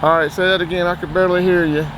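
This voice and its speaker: A man with a North Carolina accent hosting a casual outdoor radio test, talking in a relaxed, chatty way and explaining as he goes. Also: sits high, very fast, noisy background. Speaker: outdoors channel host. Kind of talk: casual gear-test vlog. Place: outdoors by a car.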